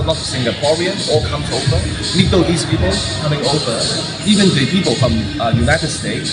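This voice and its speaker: A man makes an investment pitch in an interview. He speaks haltingly, pausing on fillers and restarting his phrases. Loud music plays behind him the whole time. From Hong Kong. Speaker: property developer. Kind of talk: interview answers, sales pitch.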